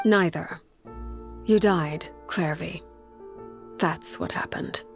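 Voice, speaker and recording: A woman voicing a stern, solemn leader in a video game, speaking in a grave, measured, hushed way. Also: on the low side, creaky, faint music behind. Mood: cold, blunt. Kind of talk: dramatic video game acting.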